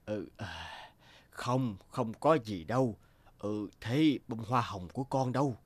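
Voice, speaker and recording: A man voicing a gentle, worried middle-aged merchant father in a cartoon fairy tale, with a hesitant, sighing delivery. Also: fairly low pitch, takes it slowly, no echo. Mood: evasive. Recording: loud music bed behind voice.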